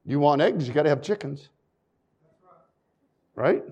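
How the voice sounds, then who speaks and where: A male preacher delivering a sermon in a fairly high voice. He reads scripture in old-fashioned phrasing, then drops to quiet, folksy asides before building back to loud emphasis. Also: lively intonation, a little slow, slightly rough, highs cut off.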